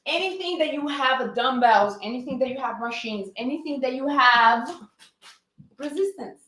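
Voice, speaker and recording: A woman's voice: high-pitched, lively intonation, moderate pace, a bit bright, echoey room. A woman with a Brazilian accent, a fitness coach teaching a live-streamed lesson, greeting viewers and answering her own questions with energy. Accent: Brazilian Portuguese.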